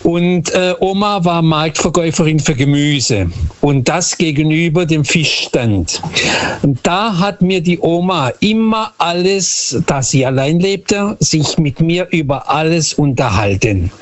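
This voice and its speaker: Guy from portugal, middle-aged or older, bit high voice, radio caller earnestly telling personal stories. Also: lively intonation, measured pace. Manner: earnest, repeats words for emphasis. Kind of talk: personal storytelling.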